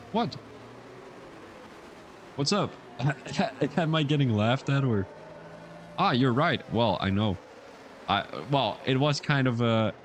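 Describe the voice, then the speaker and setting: Young male league commentator, casual stream chatter, average pitch, excitable when calling crashes, faint background noise. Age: young adult. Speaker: sim racing league commentator. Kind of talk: casual livestream race commentary.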